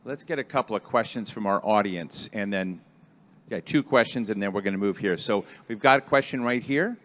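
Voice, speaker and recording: A man's voice: lowish voice, fairly quick, a bit bright. Rambling male panel moderator. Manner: rambling, conversational. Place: stage before a live audience.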